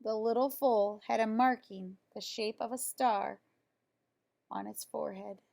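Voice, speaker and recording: Woman, fairly high voice, expressive storybook read-aloud, simple delivery for young children. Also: unhurried, gravelly. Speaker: storybook reader. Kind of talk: children's picture-book read-aloud with asides to young listeners.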